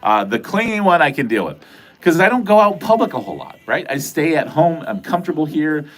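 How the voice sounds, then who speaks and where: A man's voice: a little high, sing-song, brisk, fairly bright tone. Rambling middle-aged man, chatty livestreamer. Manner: rambling, filler-heavy, crude jokey talk. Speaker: livestream host. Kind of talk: casual livestream chat answering viewer comments. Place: at home.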